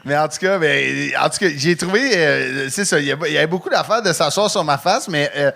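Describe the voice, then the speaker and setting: A man with a Quebec French accent, judging a comedy act in a teasing, animated chat. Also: a little high, a little fast, vocal fry. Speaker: comedy show judge. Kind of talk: casual chat.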